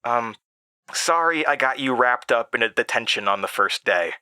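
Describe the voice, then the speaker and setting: A man's voice: mid-range voice, expressive, moderate pace, sharp tone, clear, resonant tone, no echo, studio quality. A man voicing an exhausted, friendly schoolboy who talks casually and hesitantly, apologizing, in a horror audio drama. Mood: tired, apologetic.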